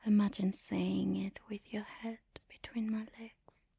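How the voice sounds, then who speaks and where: A woman speaking in an intimate, commanding and teasing erotic ASMR style, in a breathy whisper close to the mic. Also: medium pitch, flat delivery, unhurried, mellow, no echo. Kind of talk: erotic ASMR, guided audio roleplay.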